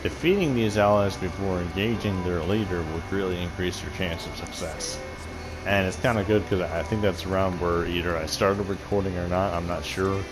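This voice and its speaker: A man with a deep voice casually commenting on a game and reading quest text, rambling and swearing freely. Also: normal speed, poor audio. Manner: profane, rambling, laughs often. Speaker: gaming video host.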